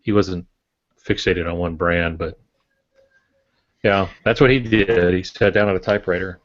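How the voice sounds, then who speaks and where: A man's voice: low-pitched, steady tone, normal speed. An older man chatting casually as a podcast co-host, on a dull lo-fi recording. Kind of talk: casual chat.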